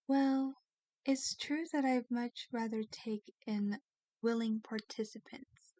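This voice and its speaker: A woman playing a kind, genteel vampire in an ASMR roleplay, speaking gently and soothingly with formal wording and a soft, sometimes breathy voice. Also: a little high, steady tone, slow, dry recording. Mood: softly explaining.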